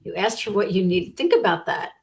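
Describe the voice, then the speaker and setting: A woman's voice: fairly low pitch, a little fast, vocal fry, darkish tone. A woman hosting a conversational interview, affirming her guest and repeating phrases for emphasis.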